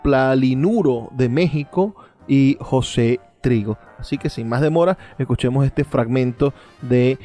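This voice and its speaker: Halting male literary programme host, music underneath. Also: mid-range voice, dark tone. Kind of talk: spoken literary commentary and introduction.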